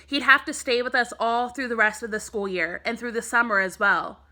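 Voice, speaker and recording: Woman reading as a bright-voiced, encouraging storybook mom. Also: a little high, steady tone, fairly quick. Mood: gentle, serious. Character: warm, encouraging mother of young children. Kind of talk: children's storybook read-aloud.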